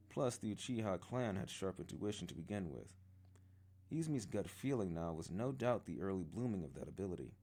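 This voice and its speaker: A man reading a novel aloud as a storyteller, expressive and shifting voices for the characters. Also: deep voice. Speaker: audiobook reader. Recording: guitar music behind the voice. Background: guitar music.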